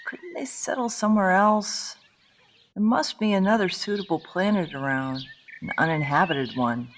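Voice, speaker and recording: A woman's voice: on the low side, moderate pace, a little hiss or hum, lightly acted. An actress playing a troubled, skeptical suburban woman in an audio drama, speaking in a somber, questioning way. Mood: bitter disbelief.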